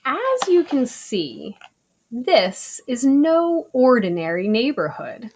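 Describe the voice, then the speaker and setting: A woman reading a children's picture book aloud in an expressive storytelling style, with deliberate, emphatic phrasing. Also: mid-range voice, breathy edge.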